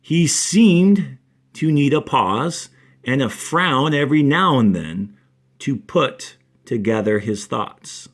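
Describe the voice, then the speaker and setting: A man reading aloud and instructing as a fourth-grade teacher, with deliberate phrasing and dramatic pauses. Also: medium pitch, animated. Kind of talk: expressive classroom read-aloud and instruction.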